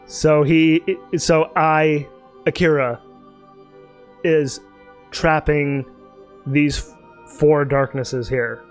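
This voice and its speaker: A man's voice: on the high side, fairly even intonation, very slow, pauses often, soft background music. A man doing let's play commentary, reading game dialogue aloud in a playful, theatrical way with off-the-cuff asides.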